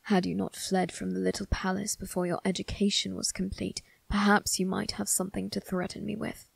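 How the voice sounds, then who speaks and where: A woman voicing a cold, condescending male vampire crime boss in an ASMR roleplay, speaking in a husky, gravelly near-whisper with slow, deliberate menace. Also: medium pitch, little pitch movement, dry recording. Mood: taunting. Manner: slow, deliberate, measured taunting. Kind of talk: ASMR roleplay monologue addressed to the listener.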